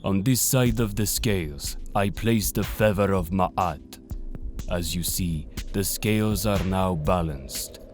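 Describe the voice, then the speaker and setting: A man's voice: sits low, soft background music. A man playing a solemn, mysterious servant of a death god in an audio drama, speaking formally and ominously. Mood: cold judgment. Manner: slow, ominous, formal delivery.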